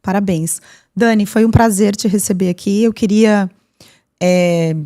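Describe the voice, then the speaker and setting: A woman, a doctor hosting a podcast, explaining things to her guest in a warm, advising way. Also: medium pitch, fairly even intonation, measured pace. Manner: warm, explanatory, uses vivid comparisons. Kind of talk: interview host, advising.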